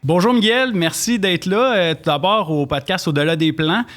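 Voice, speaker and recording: Quebecois guy hosting a podcast, highish voice, jokey and friendly with his guest. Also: over loud music. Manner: friendly, joking, quick laughs. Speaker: podcast host. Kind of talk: casual podcast interview.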